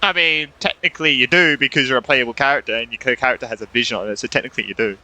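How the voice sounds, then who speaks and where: A man's voice: mid-range voice, racing, bright, forceful tone, poor audio. A man doing casual live commentary as a gaming streamer, rambling and repeating himself as he reacts. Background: game music.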